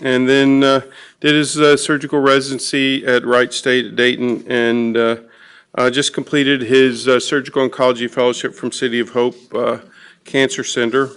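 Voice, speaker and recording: A man introduces a speaker at grand rounds. His voice is smooth and clear, his pitch stays flat and even, and he stops often for "uh". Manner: halting, many fillers. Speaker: faculty host introducing the speaker. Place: lecture hall.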